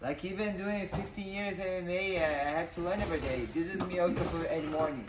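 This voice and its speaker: Woman, Portuguese native, thirties, halting English, echoey gym, background noise. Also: lowish voice, distant mic. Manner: halting non-native English, repeats and restarts. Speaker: fighter. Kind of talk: casual interview in a gym. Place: large boxing gym.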